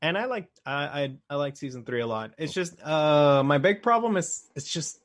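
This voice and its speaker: A man's voice: on the high side, expressive, normal speed. A man co-hosting a casual podcast, blunt in his opinions and given to swearing. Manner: blunt, profane. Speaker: podcast co-host. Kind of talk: casual chat about film and game adaptations.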